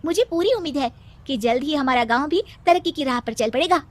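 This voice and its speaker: A woman's voice: high-pitched, lively intonation, fairly quick, voice pushed up. A woman voicing an eager, idealistic young village girl in a cartoon story, speaking earnestly. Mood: proud, hopeful. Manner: earnest, hopeful, pleading.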